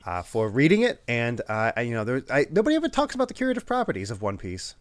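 A man's voice: medium pitch, fast talker, clear, resonant tone, no room sound, studio quality. A man hosting a casual podcast, a little congested from a head cold, laughing often as he chats. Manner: laughing, animated, acts out quotes. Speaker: podcast host.

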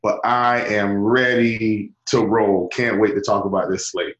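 A hoarse man from the US East Coast, talking eagerly as a podcast guest, with stammering restarts. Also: lowish voice, fairly even intonation, a little slow, fairly bright tone, echoey room, highs cut off. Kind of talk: fantasy basketball podcast chat.

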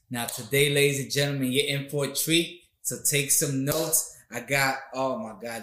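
A male podcast host speaks with an average-pitched voice in an echoey room. His delivery is effusive and casual, part showman and part friendly interviewer. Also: a bit bright.

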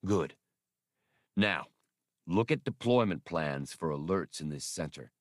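A man voicing a grave, decisive rebel leader in an audiobook, with a measured, commanding manner. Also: low-pitched, animated, no room sound, studio quality.

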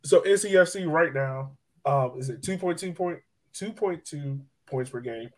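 A man's voice: on the high side, normal speed, rough recording. A man co-hosting a podcast, chatting casually about sport in an emphatic way, repeating himself for effect. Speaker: podcast co-host. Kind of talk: casual sports chat and analysis.

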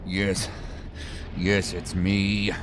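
An actor playing a blunt male agent who is trapped and distressed, speaking haltingly and urgently in a science fiction audio drama. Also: sits low, completely flat delivery, noisy background. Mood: irritated.

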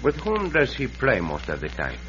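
Bold, gallant Mexican cowboy hero, vintage radio western. Forceful, animated delivery, formal and principled. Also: male voice, mid-range voice, normal speed, lo-fi sound, faint music behind. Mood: gentle, probing. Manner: formal, courteous phrasing with Spanish words. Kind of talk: old-time radio western acting. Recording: noisy, band-limited vintage radio recording.

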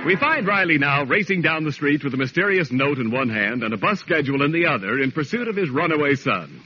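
A man's voice: on the high side, lively intonation, a little fast, music in the background. An American man announcing on 1940s radio, reading scripted copy with a polished, emphatic delivery. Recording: noisy muffled vintage radio recording.

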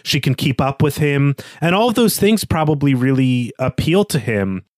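Man, average pitch, conversational podcast co-host, speculative, step-by-step reasoning, dry close recording. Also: expressive, normal speed, no echo. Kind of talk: conversational literary discussion podcast.

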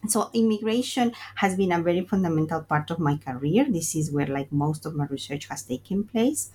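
Brief: deep-voiced woman academic, interview guest, loose filler-heavy talk. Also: lively intonation, fairly quick, mellow, a little hiss or hum. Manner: thoughtful, uses fillers like "like" and "um". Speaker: guest sociologist. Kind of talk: conversational interview.

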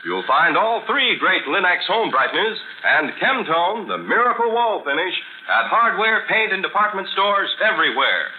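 A man's voice: high-pitched, sing-song, normal speed, hardly any pauses. An American man announcing in 1940s radio style, delivering scripted advertising and narration. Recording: hissy, tinny 1940s radio broadcast.

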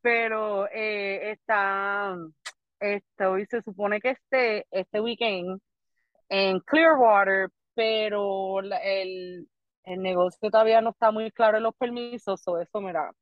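A woman's voice: mid-range voice, fairly even intonation, slow pace, no echo, dull audio. A young adult woman with a Puerto Rican accent, a comedian guest chatting casually in rambling Spanglish with lots of restarts. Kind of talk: casual podcast chat.

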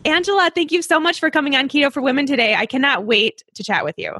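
A woman's voice: high-pitched, fast talker, sharp tone, no room sound, clean studio sound. A woman hosting a casual interview, talking in an enthusiastic, gushing way. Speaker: podcast host.

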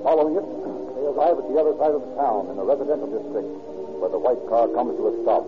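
Quick-talking male radio drama narrator. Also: mid-range voice, over music. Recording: tinny old radio recording.

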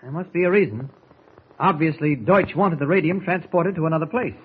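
An actor playing a calm, shrewd reporter hero in 1940s radio serial style, confident and mentor-like as he reasons. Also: a little high, a little fast, breathy edge, narrow, tinny audio. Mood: calm, reasoning. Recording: thin, band-limited old radio recording.

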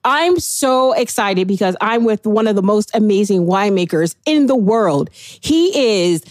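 Lively, effusive woman host. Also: medium pitch, unhurried, no echo. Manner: effusive, hyping guests. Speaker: podcast host. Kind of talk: podcast interview.

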